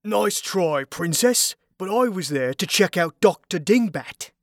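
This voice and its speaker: Woman acting a slow, sneering male wizard. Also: on the low side, animated, no room sound, studio quality. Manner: mocking, theatrical, accusatory. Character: sneering, sarcastic, foul-mouthed male wizard adventurer.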